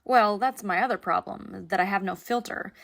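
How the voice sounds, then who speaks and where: Anxious young animated rideshare passenger, played by a woman: mid register, creaky fry, candid, rambling confessional delivery. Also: medium pitch, moderate pace. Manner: rambling, self-deprecating, candid. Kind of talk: naturalistic confessional conversation.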